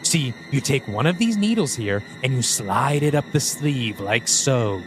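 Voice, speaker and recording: A man's voice: mid-range voice, expressive, measured pace, over music, natural voice. A man voicing a sneering demon preacher. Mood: preaching. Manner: taunting, theatrical. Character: mocking male demon possessing a priest.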